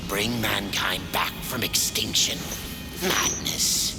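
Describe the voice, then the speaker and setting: An actor playing a bitter, tormented old keeper of secrets in a fantasy game, with an ominous, theatrical delivery over music. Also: male voice, on the low side. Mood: scornful. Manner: slow, ominous, dramatic delivery. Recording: loud cinematic music behind. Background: loud music.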